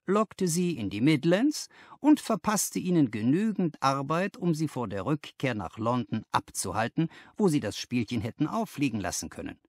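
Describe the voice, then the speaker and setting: A man voicing a confident, analytical gentleman detective who lectures emphatically in an audiobook, posing rhetorical questions. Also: medium pitch, no room sound, studio quality. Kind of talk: audiobook character dialogue.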